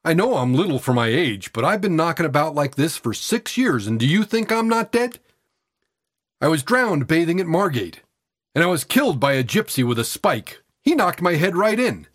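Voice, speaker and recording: A man voicing a frail eighteen-year-old tramp boy, cocky, weary and defiant, with husky, breathless, colloquial working-class speech. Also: a little high, animated, normal speed, no room sound, clean studio sound. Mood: intense, insistent.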